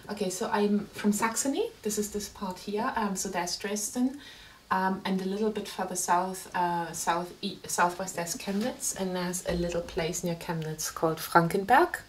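A woman's voice: mid-range voice, normal speed, slight background noise, low-quality recording. A woman in her forties from East Germany with a German accent, explaining her background plainly in a documentary interview at home. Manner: plain, matter-of-fact explaining. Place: room at home.

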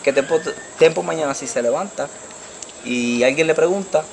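A young Dominican man with a Puerto Rican accent telling his story in a street interview, animated and checking the listener follows him, into a handheld mic outdoors at night. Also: on the high side, moderate pace, creaky, a little hiss or hum. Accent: Puerto Rican Spanish. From Dominican Republic. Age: young adult. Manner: animated, gesturing, repeats "tú me entiendes". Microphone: handheld mic held by interviewer.

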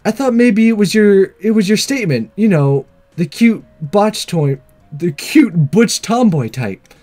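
Visual novel schoolgirl, played by a man, girlish and perky, high animated voice, breezy and forward. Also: a little slow, soft background music. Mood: curious, forward. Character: perky, forward, sporty anime schoolgirl, teenage.

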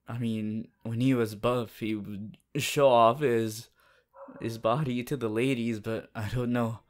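Guy doing a shy flustered anime teen boy, stammers a lot, super slow romantic roleplay talk. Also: medium pitch, natural voice. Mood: cheerful, chatty. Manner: halting, stammering, flustered, giggly. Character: shy, awkward, lovestruck teenage boy hero, anime. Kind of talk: romantic audio roleplay addressed to listener.

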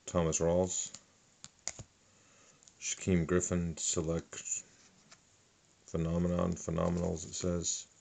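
A middle-aged man calmly listing sports cards one by one at a table, with a lot of fillers. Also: low voice, gravelly, a little hiss or hum. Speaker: card collector. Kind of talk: casual card unboxing narration. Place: indoors at a table.

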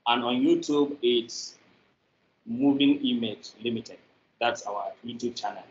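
A man's voice: medium pitch, measured pace. A middle-aged man with a Nigerian accent, giving conversational answers as an interview guest over a video call. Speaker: interview guest, media company representative. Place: indoor room, on a video call. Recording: echoey, muffled video call audio.

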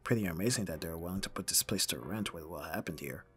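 A man's voice: low voice, fast talker, fairly bright tone, soft background music. A man playing a caring but easily exasperated young roommate in an ASMR roleplay audio drama, casual and dryly bantering. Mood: deadpan, bantering.

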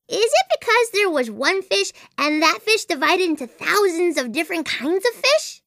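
Very high-pitched, imaginative little girl. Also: pushed and bright, no echo, clean studio sound, full character voice. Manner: whimsical, earnest, childlike wondering. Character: imaginative, chatty little girl, dreamy about unicorns and princesses. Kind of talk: animated video game character voice acting.

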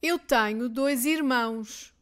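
Slow, high-voiced European Portuguese woman teacher. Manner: reads each line twice, clearly and deliberately. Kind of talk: slow repeated phrase drills for learners.

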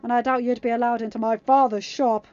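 A woman's voice: medium pitch, steady tone, measured pace, darkish tone, no echo, lo-fi sound, natural voice. A woman voicing a sly, menacing teenage sea witch in a story reading, taunting and snarling. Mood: menacing, angry.

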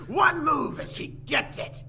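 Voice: sits high, big pitch swings, measured pace, bright, forceful tone, faint music behind, exaggerated voice. A performer voicing a mocking, gleefully menacing villain with a pistol in a visual novel game. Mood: gloating. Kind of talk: exaggerated visual-novel voice acting.

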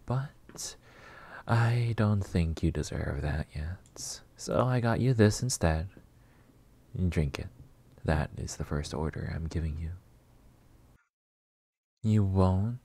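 A man playing a flirty, possessive, delusional vampire master in a roleplay ASMR monologue, teasing and theatrical with drawn-out words. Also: low-pitched, dark tone, breathy edge, full character voice. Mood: commanding, then irritated coaxing.

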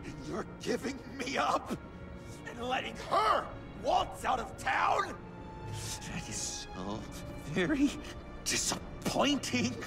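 A man playing a manic, theatrical clown vigilante in a video game, with a creaky, strident voice and dramatic emphasis. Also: extremely high pitch, huge pitch swings, takes it slowly, recorded from a distance, over music, full character voice. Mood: betrayed, indignant.

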